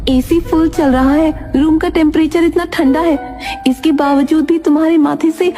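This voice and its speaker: Lady doing a worried young wife, pitched up high, fussing a bit, music behind her. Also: moderate pace, lightly acted. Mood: concerned. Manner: high-pitched, questioning, animated. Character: concerned young wife, lightly scolding and reassuring.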